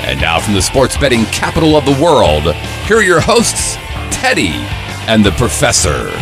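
A man announcing a show intro with a loud, punchy announcer delivery. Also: mid-range voice, huge pitch swings, normal speed. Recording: announcement over guitar music.

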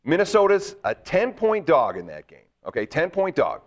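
A man hosting a sports betting show, with a gravelly voice and an animated, chatty delivery. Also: fairly high pitch. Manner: animated, talks up his bets with quick asides. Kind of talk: casual sports betting talk.

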